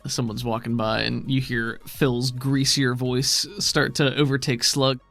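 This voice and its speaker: A man's voice: medium pitch, little pitch movement, measured pace, vocal fry, fairly bright tone, faint music behind. A man working as game master, improvising narration for a tabletop role-playing game.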